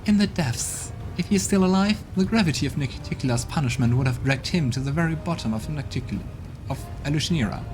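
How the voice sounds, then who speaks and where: A man putting on a scornful demon queen who talks down to everyone, her voice pitched up high and lilting with contempt. She scoffs at the weak and fools around her, game music rising behind her. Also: animated, fast, close to an everyday voice. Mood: haughty.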